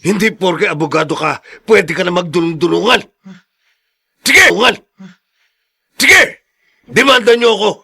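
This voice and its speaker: An actor playing a furious, unforgiving older brother, confrontational and defiant in a radio melodrama. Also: male voice, high-pitched, lively intonation, measured pace, gravelly, pauses often, slightly rough, heavily acted. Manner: confrontational, forceful. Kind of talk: melodramatic radio drama acting.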